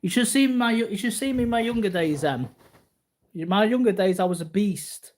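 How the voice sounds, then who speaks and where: A man in his early forties with a slightly high voice speaks off the cuff to his viewers. He rambles in a casual, boastful way, circling back and repeating his phrases. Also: normal speed. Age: early 40s. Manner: repetitive, boastful. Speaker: martial arts vlogger.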